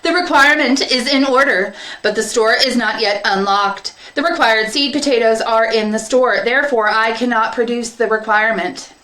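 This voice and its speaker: A woman's voice: fairly high pitch, moderate pace, bright, forceful tone, echoey room, pitched above the performer's own voice, rough recording. A woman voicing a literal-minded warehouse robot that recites in a stiff robotic manner. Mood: flat, literal. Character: seed distributor, literal-minded low-class warehouse robot.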